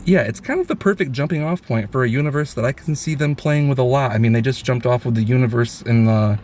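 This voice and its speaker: A man's voice: medium pitch, quick pace, a little mellow, slight background noise. Enthusiastic man hosting a casual film review. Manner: enthusiastic, effusive.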